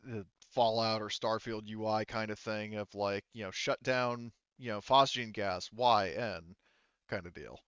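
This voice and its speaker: A man with a smooth, lowish voice acts as game master, explaining the game in a loose, conversational way peppered with filler phrases. The sound is close and dry, though slightly dull. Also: fairly bright tone, no echo. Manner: hedging, conversational, heavy use of "you know" and "like". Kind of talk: casual tabletop game narration, explaining.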